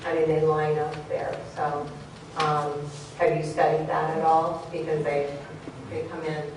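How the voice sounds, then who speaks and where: A woman on a board raising a concern at a public meeting, informal and halting. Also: low-pitched, little pitch movement. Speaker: board member asking questions. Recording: echoey hall from far off, clear noise.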